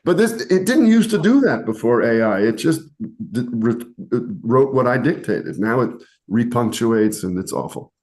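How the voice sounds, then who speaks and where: A man's voice: medium pitch, animated, normal speed, a little mellow. A man who acts and sings in a chorus, telling wry, teasing stories in a casual group discussion. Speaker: cast member and chorus singer.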